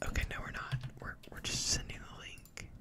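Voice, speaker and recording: A person commentating a livestream in a breathy ASMR-like whisper, with a playful, deadpan mock-announcer delivery. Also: fairly quick.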